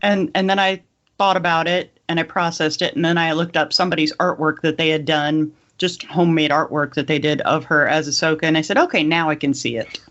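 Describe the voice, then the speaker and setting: A woman's voice: low voice, steady tone, brisk, a bit bright. A woman hosting a podcast in a casual, chatty style. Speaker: podcast host. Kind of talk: casual chat. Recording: slightly dull, lo-fi audio.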